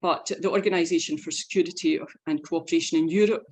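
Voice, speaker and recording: A woman leading an informational webinar, explaining facts and figures in an earnest, measured way. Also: fairly low pitch, fairly even intonation, darkish tone, slightly rough. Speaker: presenter leading an online info session.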